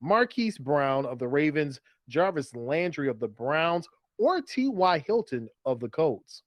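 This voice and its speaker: A man's voice: a little high, very animated, unhurried, no echo. An American man hosting a fantasy football show in a conversational way, moving the talk along casually. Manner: conversational, steers segments and poses questions.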